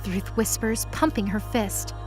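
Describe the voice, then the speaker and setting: A woman's voice: medium pitch, unhurried, darkish tone, faint music behind. A woman narrating a scripted present-tense mythology drama.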